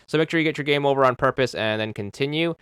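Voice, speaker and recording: A man's voice: medium pitch, fast, a bit bright, smooth, no echo. A man narrating a game achievement walkthrough, methodically repeating step-by-step instructions into a close microphone. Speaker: achievement guide host.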